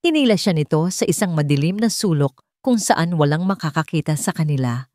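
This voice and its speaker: A woman's voice: deep voice, animated, fairly quick, warm tone, no echo, clean studio sound. A woman narrating a dramatic scripted love story.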